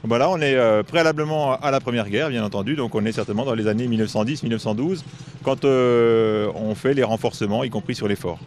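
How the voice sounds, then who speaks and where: A man's voice: mid-range voice, fast talker, darkish tone, a little hiss or hum. A man from a heritage association, outdoors in a forest, explaining the site steadily with many filler hesitations. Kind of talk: explanatory interview answers.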